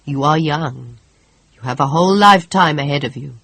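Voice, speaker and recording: Reader as stern village priest, solemn, admonishing, breathy, dry. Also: medium pitch, moderate pace, fairly bright tone, dry recording.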